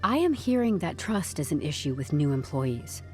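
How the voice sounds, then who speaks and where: Deadpan, stubborn HR woman, animated pitch. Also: normal speed, soft background music. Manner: deadpan corporate politeness with wry candour. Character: composed, stubborn young HR newcomer turning interrogation into interview. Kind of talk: audio drama acting.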